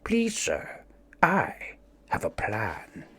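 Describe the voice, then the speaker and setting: A man's voice: on the low side, wildly swooping pitch, drawn-out words, pushed and bright, slightly rough, heavily acted. A man voicing a meek, servile pirate underling in a storybook, pleading timidly.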